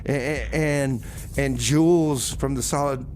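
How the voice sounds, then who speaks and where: A man's voice: mid-range voice, steady tone, measured pace, a little mellow, slight background noise. A man hosting a community radio music show, loose and chatty, repeating himself as he introduces songs and banters. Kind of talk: casual music show presenting with comedy banter.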